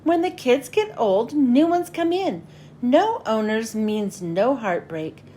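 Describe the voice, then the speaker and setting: Woman as big male teddy toy, welcoming, friendly, animated intonation, storybook character, noticeable background noise. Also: on the high side, takes it slowly. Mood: warm, welcoming.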